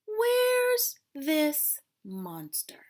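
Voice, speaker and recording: A woman voicing a sensible storybook hedgehog who is calm but easily startled. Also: high-pitched, very slow, voice pushed up, full character voice. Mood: calm, doubting. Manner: calm, turning to shouting.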